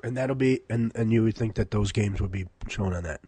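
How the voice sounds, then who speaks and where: American male in casual conversation, lowish husky voice, halting delivery with repeated words. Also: mellow, no echo. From Chicago, United States. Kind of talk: casual chat.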